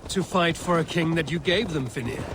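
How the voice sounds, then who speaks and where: An actor as a blunt, commanding Viking leader. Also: male voice, on the high side, brisk, close to an everyday voice. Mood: stern. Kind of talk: video game drama acting. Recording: clear outdoor game ambience noise.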